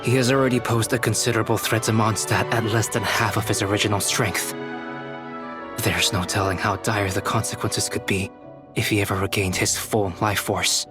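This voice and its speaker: Game role: man as composed young male alchemist, low register, precise and formal, music clearly underneath. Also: steady tone, close to an everyday voice. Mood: grave, explanatory. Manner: measured, precise, formal, matter-of-fact. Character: calm, analytical young male alchemist with quiet resolve. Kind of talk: scripted English game voice acting. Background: background music.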